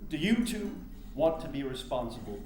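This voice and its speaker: A man's voice: mid-range voice, very animated, normal speed, darkish tone, close to an everyday voice. A man acting a condescending, self-assured nineteenth-century reformer in a theatrical staged reading, speaking in a patronizing, orator-like way. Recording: very echoey, roomy hall recording.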